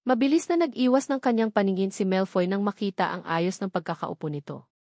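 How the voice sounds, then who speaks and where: Woman, audiobook narrator, scripted romance storytelling, medium pitch, dry recording. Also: fairly quick, a little mellow, dry recording.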